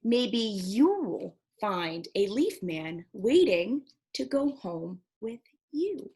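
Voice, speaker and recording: A woman librarian reading picture books aloud to children, expressive and encouraging, often putting questions to her young listeners. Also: mid-range voice, extremely slow.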